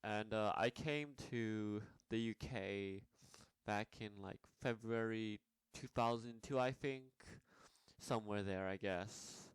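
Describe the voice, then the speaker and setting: A man's voice: fairly low pitch, takes it slowly, clear, resonant tone, dry recording. A young man from Nepal telling his life story in a rambling vlog, with many fillers and restarts. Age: young adult. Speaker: vlogger.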